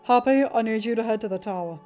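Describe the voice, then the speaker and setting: A woman's voice: on the high side, fast talker, warm tone, faint music behind, natural voice. Woman as decisive, commanding fatherly man. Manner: decisive, serious. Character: concerned, commanding man, fatherly team leader. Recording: dull lo-fi recording.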